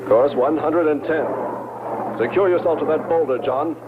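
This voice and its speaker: A man's voice: fairly high pitch, normal speed. An actor playing a tough, urgent spaceman in an old science fiction film, giving terse commands through a radio-like filter. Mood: commanding. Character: tough, urgent male spaceman battling on a hostile planet. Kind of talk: 1960s dubbed science fiction film acting. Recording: tinny radio-like old film track, loud noise.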